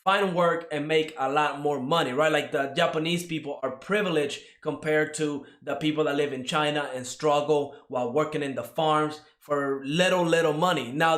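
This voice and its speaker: A man's voice: fairly high pitch, fairly bright tone. Rambling man in an echoey room. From Cuba. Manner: rambling, with many fillers and "right?" tags. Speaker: anime reviewer. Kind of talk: casual spoken review.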